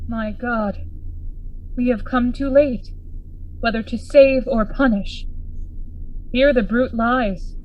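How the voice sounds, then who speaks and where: A woman's voice: a little high, takes it slowly, long pauses, darkish tone, muffled recording. A woman acting a grave, commanding Victorian friend investigating a horror in a gothic radio drama, formal and urgent in manner. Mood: grim, puzzled.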